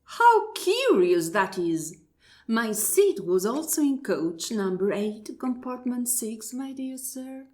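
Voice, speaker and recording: Woman acting a prim baffled lady, very deliberate, big up-and-down intonation, formal radio play. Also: on the high side, big pitch swings, darkish tone. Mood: mock astonishment. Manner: formal, deliberate, mock-astonished. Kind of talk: absurdist stage dialogue read as radio play.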